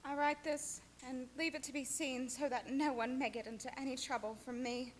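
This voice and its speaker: A woman's voice: sits high, steady tone, moderate pace, lightly acted. A woman playing a frightened, fragile, lovesick young lady in a melodramatic Gothic radio play. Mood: fearful. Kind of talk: old-time radio drama acting. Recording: clear background noise and ambience.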